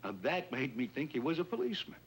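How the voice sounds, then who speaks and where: A man's voice: on the high side, very animated, fast talker. A man playing a wary, folksy shopkeeper who has been swindled, hesitant and plain-spoken, in a 1950s police drama. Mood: earnest, rueful. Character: wary, folksy male shopkeeper, robbery victim. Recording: noisy old 1950s film soundtrack.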